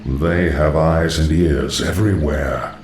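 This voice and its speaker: Undead lich, deep calm schemer, echoey far-off sound with music. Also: male voice. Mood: scheming. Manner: measured, formal and knowing. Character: undead male lich, scheming and coldly patient. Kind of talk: game cutscene voice acting. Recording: roomy echo, distant, over music.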